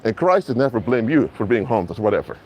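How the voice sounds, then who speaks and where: A man's voice: lowish voice, big pitch swings, brisk, dull audio, a little hiss or hum. A man whose first languages are Papiamento and Dutch, preaching earnestly to live-stream viewers on a mobile phone outdoors in a city. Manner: earnest, repetitive, addresses viewers directly. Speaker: minister. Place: outdoors in an urban area.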